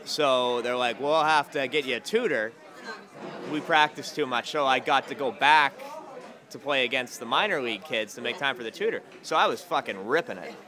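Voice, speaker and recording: Man, late twenties, comedian, casual deadpan banter, clatter and chatter all around. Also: mid-range voice, normal speed. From Massachusetts, USA. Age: 20s. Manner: dry, joking asides. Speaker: stand-up comedian. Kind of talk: casual chat over breakfast. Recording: noisy crowded diner. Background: crowd chatter.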